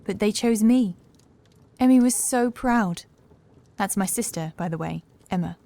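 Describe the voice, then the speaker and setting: A woman playing a young housekeeper in a horror audiobook, calm and assured, with measured, formal speech and a touch of sorrow. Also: medium pitch, natural voice. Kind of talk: horror audiobook character acting.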